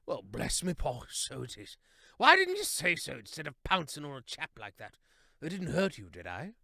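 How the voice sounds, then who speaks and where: A man's voice: fairly high pitch, wildly swooping pitch, moderate pace, gravelly, sharp tone, no room sound, clean studio sound, voice pushed up, exaggerated voice. A man voicing a jolly, plummy hare who blusters and says "what, what?". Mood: hearty. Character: jolly hare, plummy upper-class old soldier type.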